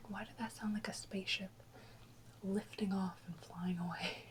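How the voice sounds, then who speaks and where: A woman whispering softly and unhurriedly in a casual ASMR chat, close to the microphone. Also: mid-range voice, fairly even intonation, moderate pace, noisy background. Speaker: ASMR video host.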